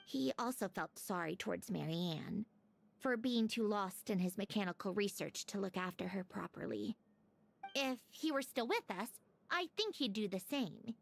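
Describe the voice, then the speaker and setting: An actress playing a calm, confident, faintly smug female agent in a game cutscene. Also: medium pitch, moderate pace, gravelly. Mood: reflective. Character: cool, self-assured female Fatui agent. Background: game music.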